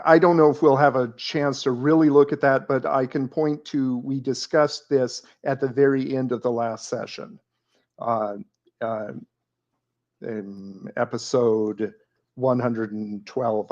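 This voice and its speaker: A man's voice: mid-range voice, steady tone, takes it slowly, darkish tone. Muffled-sounding man explaining at length. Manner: explanatory, many uh fillers and repeats. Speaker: podcast co-host. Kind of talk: casual theological discussion.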